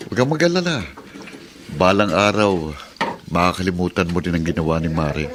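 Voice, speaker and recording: An actor with a Filipino accent playing a sympathetic, inquisitive friend in a radio drama, reassuring and a little hesitant. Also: male voice, low voice, expressive, moderate pace. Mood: concerned. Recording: noisy radio drama track with clicks.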